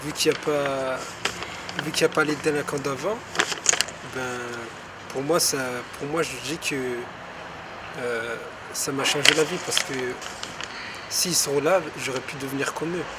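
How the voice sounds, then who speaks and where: A man's voice: a little high, steady tone, measured pace, pauses often. A man in a documentary interview giving a hesitant personal testimony. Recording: outdoors from far off with loud traffic.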